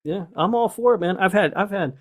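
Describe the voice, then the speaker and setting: A man's voice: high-pitched, brisk. A middle-aged man co-hosting a podcast, chatting casually. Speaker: podcast co-host. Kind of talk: casual chat.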